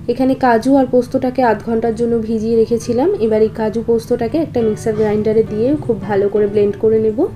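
A woman presents a recipe voice-over. Her voice sits a little high yet sounds mellow and relaxed. She explains each step in an instructional way, with faint guitar music playing behind her. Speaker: cooking show host. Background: guitar background music.

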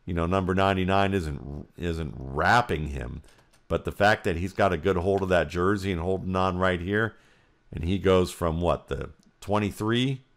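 An American man giving football film breakdown commentary, explaining each detail as he thinks aloud. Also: low voice.

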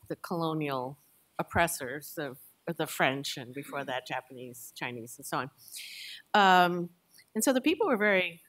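An older woman answering a question on a panel in a measured, thoughtful way, speaking into a table mic in a large lecture hall. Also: low-pitched, fairly bright tone. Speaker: veteran panelist.

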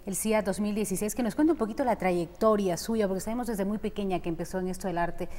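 A woman's voice: medium pitch, fast, in a large hall. A young woman hosting an interview on a TV studio set, often answering with short affirmations. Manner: warm affirmations, many "ujú". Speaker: TV host.

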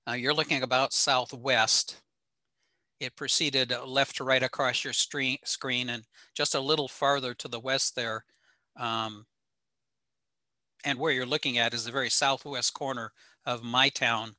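An older American man giving an informal slide lecture in an explanatory, halting way with frequent pauses. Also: medium pitch, fairly even intonation, bright, forceful tone, no echo. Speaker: presenter, ham radio operator. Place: small home room indoors.

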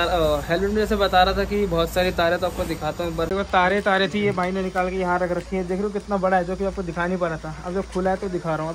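A young man talks in a high-pitched voice. He chats informally, like a motovlogger addressing his buddies, with some traffic noise around him. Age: 20s. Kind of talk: casual chat to camera. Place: on a motorbike in city streets, later inside a small helmet shop. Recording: noisy street and traffic sound. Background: traffic and vehicle noise.

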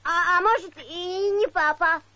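Very high, stubborn little boy donkey. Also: measured pace, bright, forceful tone, no echo, heavily acted. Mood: defiant. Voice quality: high childlike voice. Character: stubborn, cheeky little boy donkey who dreams big. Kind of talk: animated children's cartoon acting.

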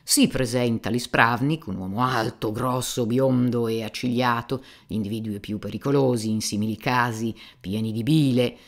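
A man reading an audiobook, voicing a boastful aging buffoon who rambles loudly and theatrically about himself. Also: medium pitch, normal speed, hardly any pauses, lightly acted. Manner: loud, theatrical, rambling storytelling. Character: boastful aging male buffoon, self-mocking and garrulous.

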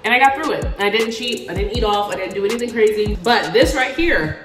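A woman's voice: medium pitch, fast, music in the background. Chatty woman vlogging in an echoey kitchen. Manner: chatty and animated, talks to camera with hand gestures. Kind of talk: casual day-in-the-life vlog. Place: home kitchen, indoors. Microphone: camera on a stand, a few feet away.

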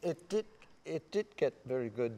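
An older man speaking up conversationally on a panel, polite and halting, recorded in a reverberant hall. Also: fairly high pitch, lively intonation, dark tone. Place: stage panel before dark curtains, indoors. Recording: reverberant hall, faint noise.

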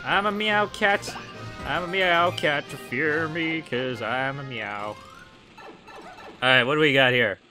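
A hoarse young man giving goofy, excitable commentary over his gameplay, full of ums and likes. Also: fairly high pitch, slow pace. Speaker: let's play gamer. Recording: game music behind voice.

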